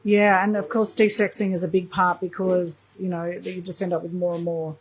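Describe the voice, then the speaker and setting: A woman's voice: on the low side, flat delivery, normal speed, poor audio. A woman hosting an interview, asking questions and offering enthusiastic praise. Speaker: interviewer and show host.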